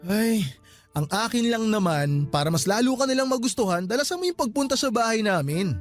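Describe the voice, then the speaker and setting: Petulant, nagging boyfriend. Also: male voice, high voice, sing-song, a little fast, soft background music. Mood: insistent. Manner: nagging, defensive, dismissive. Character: insistent, dismissive young boyfriend, petulant and quick to snap. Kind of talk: Filipino radio drama acting.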